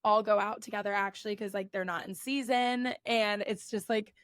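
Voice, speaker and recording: A young woman hosting a casual podcast, chatting with her friends and leaning on "like" in nearly every phrase. Her voice has a slight rasp and sits at an ordinary pitch. It is recorded close and dry. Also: fairly even intonation, moderate pace, no room sound. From United States. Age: 20s. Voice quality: a bit raspy. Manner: chatty, says "like" constantly. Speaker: podcast host. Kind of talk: casual chat.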